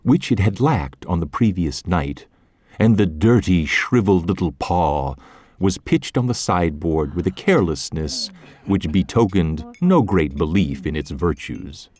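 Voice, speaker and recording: A man's voice: low voice, lively intonation, unhurried, darkish tone. A man narrating a literary story as part of a scripted audio drama.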